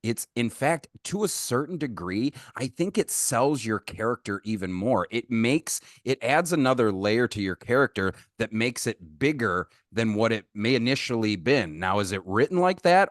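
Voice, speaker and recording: A man's voice: mid-range voice, animated, moderate pace, few pauses, dry recording, clean studio sound. A man hosting a podcast interview, asking long, winding questions full of restarts and gushing praise. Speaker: podcast host.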